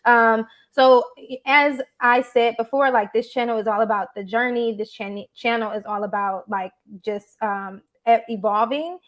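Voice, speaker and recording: A woman's voice: a little high, steady tone, unhurried. A woman hosting a live online talk show, chatty and appreciative, with frequent fillers. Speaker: host. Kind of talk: casual livestream chat.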